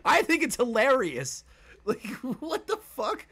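A man's voice: extremely high pitch, wildly swooping pitch, takes it slowly, sharp tone, breathy edge. Exasperated young man hosting a stream. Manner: profane, laughing, exasperated. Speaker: stream host. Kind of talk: casual panel chat on a video call. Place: small room at home, indoors. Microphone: headset.

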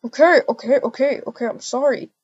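Pleading husband acted by a woman, apologetic, sheepish, highish voice, amateur game roleplay, slightly muffled recording. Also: fairly quick, slightly rough. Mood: submissive.

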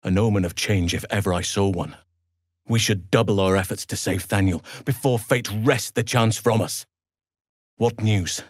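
An actor playing a big, calm, earnest male elf druid in a fantasy video game, speaking in a measured, gentle, solemn way. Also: on the low side, no room sound, studio quality, natural voice.

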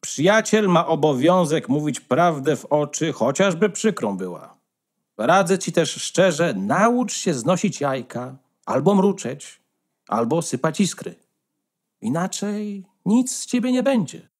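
A man's voice: a little high, big pitch swings, unhurried. A man playing a bossy, smug female hen who lectures condescendingly in a fairy-tale radio play. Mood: condescending, scolding.

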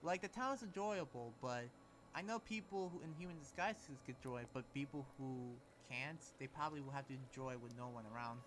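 A man's voice: mid-range voice, brisk, fairly bright tone, lightly acted. A man playing an earnest, trusting demon researcher, hesitant and rambling, in an improvised Minecraft anime roleplay. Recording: clear background noise and ambience.

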